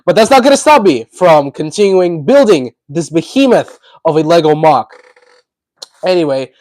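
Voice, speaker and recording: A man hosting a casual livestream, talking in a chatty, rambling way while congested and hoarse from a cold. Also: a little high, expressive, moderate pace, a little mellow, dry recording, low-quality recording. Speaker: livestream host.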